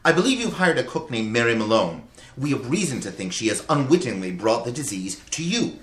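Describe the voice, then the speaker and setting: Man as formal 1900s male investigator, insistent, precise, lively intonation, radio play, roomy sound. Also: mid-range voice, fairly quick. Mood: firm, polite. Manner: polite, precise, persistent. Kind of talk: old-time radio acting. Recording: roomy, with background noise and clicks.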